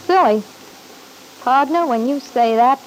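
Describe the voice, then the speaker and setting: A woman's voice: high voice, measured pace, rough recording. An actress playing a guarded, wisecracking young woman in an old-time radio soap opera, with slangy, breezy talk. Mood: defiant, flip. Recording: hissy vintage radio recording.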